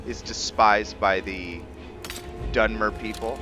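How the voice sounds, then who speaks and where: A man's voice: fairly low pitch, steady tone, takes it slowly, music in the background. A man giving casual, rambling let's-play commentary as a gaming host.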